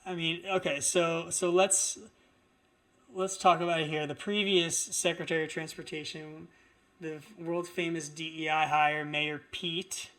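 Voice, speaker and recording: Male political commentator, higher-than-average voice, indignant and emphatic, unscripted opinion delivery. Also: little pitch movement, a little slow, fairly bright tone. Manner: indignant, emphatic, repetitive phrasing.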